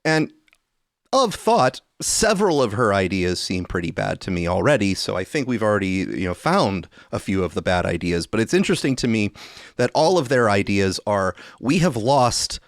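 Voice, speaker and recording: A man's voice: on the low side, sing-song, moderate pace, no room sound. A man hosting a podcast, giving casual political commentary in a dry, mock-earnest, pedantic way. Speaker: podcast host.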